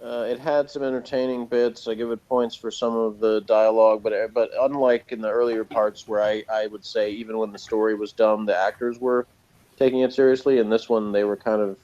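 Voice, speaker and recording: A man's voice: lowish voice, level tone, fairly quick, mellow, muffled recording. A man giving a casual, off-the-cuff TV episode review as host, rambling along in a sardonic way.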